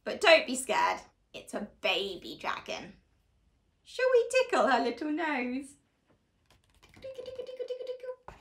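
A young woman reading a picture book aloud to small children in an animated, expressive, deliberate storytelling manner. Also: high voice. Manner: exaggerated, expressive, slow and deliberate delivery to children. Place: small living room, on a sofa indoors.

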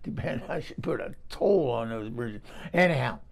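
A man's voice: mid-range voice, animated, normal speed, vocal fry. A man voicing a chatty old Southern woman from Tennessee, telling a rambling folksy story with a Southern accent. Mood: gossipy. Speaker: comic character monologue. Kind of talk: comic storytelling.

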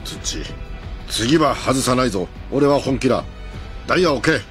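A man playing a gruff, earnest police inspector in a comic radio drama, speaking in clipped, solemn commands. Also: mid-range voice, expressive, normal speed, over loud music, close to an everyday voice. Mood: urgent, dutiful.

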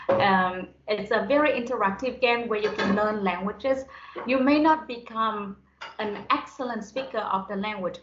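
A woman's voice: medium pitch, fairly bright tone, slight background noise. Young woman, native Vietnamese speaker, conversational guest talk. Roomy, slightly dull video-call sound. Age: young adult. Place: indoors on a group video call.

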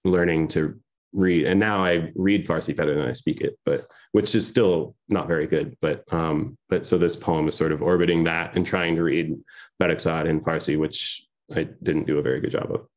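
Poet in his 30s, deep low voice, reading over a laptop webcam at home. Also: fairly even intonation, moderate pace. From Iran. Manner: soft-spoken, hesitant with many fillers between poems. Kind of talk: poetry reading. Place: home room with framed pictures on the wall. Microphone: laptop webcam video call.

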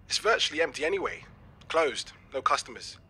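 A man's voice: fairly high pitch, very animated, fairly quick, pushed and bright, slightly rough, heavily acted. An actor playing an enthusiastic young British man full of schemes, chatty and eager, in a naturalistic audio drama. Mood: eager, upbeat. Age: young adult. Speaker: actor in a fiction podcast.

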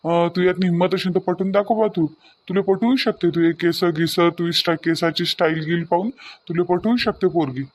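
Man acting a high-voiced Varhadi village young man. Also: steady tone, normal speed. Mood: teasing. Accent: Varhadi Marathi from Vidarbha. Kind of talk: cartoon voice acting in village family comedy.

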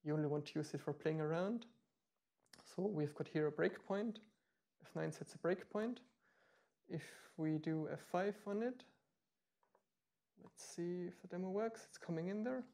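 Gravelly-voiced man giving a tech demo. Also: high voice, normal speed, long pauses, mellow. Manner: explanatory, with frequent ums and restarts. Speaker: meetup speaker. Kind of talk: live tech demo talk. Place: meetup room.

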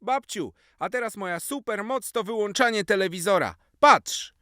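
A man voicing an eager seven-year-old boy in a children's story, full of exclamation and excitement. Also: high-pitched, normal speed, no room sound, studio quality, pitched above the performer's own voice. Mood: excited, boastful.

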